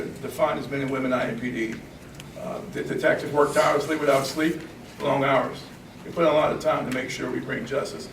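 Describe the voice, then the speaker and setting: A man's voice: medium pitch, gravelly. A man, a police chief, speaking at a press conference while losing his voice to a congested nose, earnest and repeating words as he goes. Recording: echoey room, distant mic, background noise.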